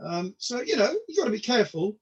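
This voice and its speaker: A man in his sixties whose first language was Polish, a comedian chatting as a guest in a hesitant way, repeating words and filling pauses. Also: high-pitched, very animated, breathy edge. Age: 60s. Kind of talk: casual interview talk. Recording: dull lo-fi sound.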